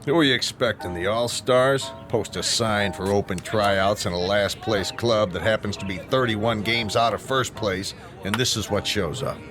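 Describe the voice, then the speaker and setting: An actor plays a sarcastic baseball man with dry remarks. His voice sits lowish, and music plays under the radio drama. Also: moderate pace, hardly any pauses, close to an everyday voice.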